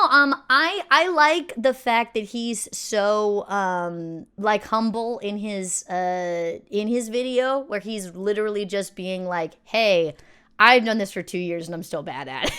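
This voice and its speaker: A woman's voice: medium pitch, a bit bright, clean tone. A woman hosting a reaction video, chatting in a bubbly, rambling, playful way. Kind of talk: casual chat.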